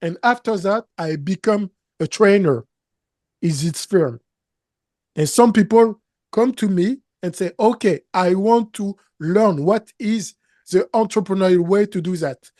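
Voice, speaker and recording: Slow, earnest French-accented man. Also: high-pitched, dark tone, no room sound, studio quality. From Canada. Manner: slow, earnest, emphatic, with non-native grammar slips. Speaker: guest, business coach. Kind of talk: interview conversation.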